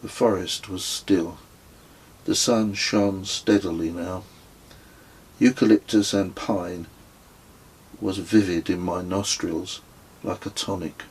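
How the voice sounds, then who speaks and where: A man reading his own memoir as an audiobook, with husky, measured, descriptive narration. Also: low-pitched, fairly even intonation, low-quality recording. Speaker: author reading own book.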